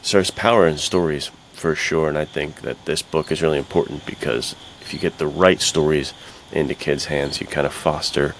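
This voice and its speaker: Extremely deep-voiced, earnest man. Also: fairly even intonation, normal speed, hardly any pauses. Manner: earnest, reflective. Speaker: podcast co-host. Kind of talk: casual podcast chat. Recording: faint background noise.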